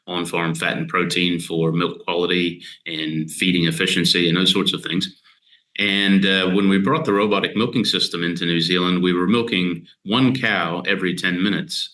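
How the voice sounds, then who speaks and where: An American man in his fifties, a dairy scientist being interviewed, explaining his work steadily and in detail with frequent pauses. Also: low-pitched, sharp tone, rough recording. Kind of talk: casual explanatory interview talk.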